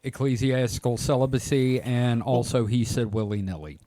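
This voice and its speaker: A man's voice: medium pitch, normal speed, warm tone, no room sound. A man co-hosting a casual comedy podcast, bantering in a sweary, mock-grumbling way. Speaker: podcast co-host.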